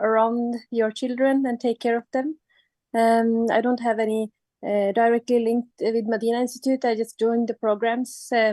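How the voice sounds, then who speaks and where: A woman's voice: a little high, creaky, darkish tone, no echo. A woman originally from Pakistan, a nurse, introducing herself to a group on a video call. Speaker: nurse, youth trip volunteer. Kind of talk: introducing herself in an online meeting.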